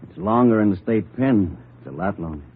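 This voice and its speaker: Sour ex-convict, American, husky, fairly low, needling politeness, old muffled radio drama. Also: male voice, animated, brisk. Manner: needling, bitter undertone. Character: sour, resentful male ex-convict robber feigning politeness. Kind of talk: 1940s-50s radio drama acting.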